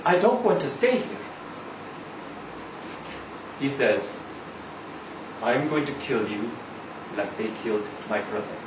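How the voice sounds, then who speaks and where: Man performing a tired Middle Eastern trucker, live story monologue, slow rambling delivery, resigned, recorded at a distance in an echoey room. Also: medium pitch, big pitch swings, pitched below the performer's own voice. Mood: defiant pleading. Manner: halting, rambling, foul-mouthed, repeatedly seeking agreement. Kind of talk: live dramatic monologue reading of a short story. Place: live literary event in an indoor venue. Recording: echoey room, distant mic, faint noise.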